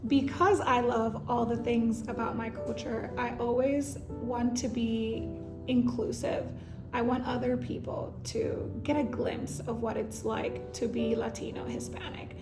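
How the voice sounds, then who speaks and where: Woman, native Spanish speaker, raised in Puerto Rico; high school Spanish teacher, documentary interview, earnest and reflective, fairly high voice, roomy echo, music bed. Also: level tone, measured pace. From Dominican Republic, raised in Puerto Rico. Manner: earnest, reflective, with frequent fillers.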